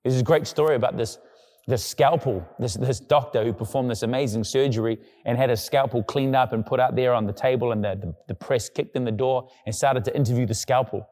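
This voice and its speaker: A man's voice: fairly quick, a little mellow. Man preaching in a reverberant hall. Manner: conversational preaching, acting out quoted voices in a low murmur. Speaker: pastor. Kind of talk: sermon.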